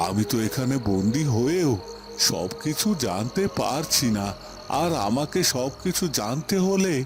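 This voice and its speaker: A man acting a worried, loyal captive in a cartoon, brooding aloud in an agitated, self-questioning monologue. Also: mid-range voice. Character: worried loyal male captive of a scheming villain. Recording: music behind the voice.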